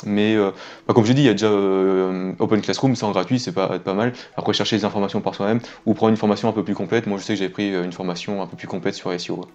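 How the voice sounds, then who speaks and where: A man's voice: lowish voice, fairly even intonation, quick pace, a little mellow, dull audio. A man in his twenties chatting casually as an interview guest, informal and rambling. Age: 20s. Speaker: interviewed web entrepreneur. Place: small indoor room with wooden ceiling.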